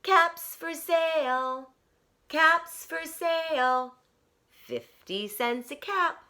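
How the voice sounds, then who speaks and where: A woman's voice: sits high, pushed and bright, voice pushed up, exaggerated voice. A woman voicing an exasperated male cap peddler from a storybook, emphatic and indignant, drawing out his words. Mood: calling out wares. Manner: emphatic, drawn-out, stammering demands.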